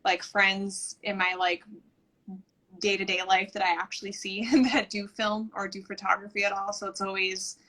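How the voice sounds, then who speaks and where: Bright-voiced, chatty woman guest. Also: medium pitch, poor audio. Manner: eager, self-deprecating, chatty. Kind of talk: casual chat on a live stream. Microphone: video call.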